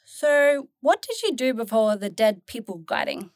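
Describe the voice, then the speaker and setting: A woman's voice: on the high side, very animated, measured pace, no echo, clean studio sound. A woman playing a curious, uneasy young human traveller in a fantasy audio drama, speaking in an informal, chatty way. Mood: curious, upbeat.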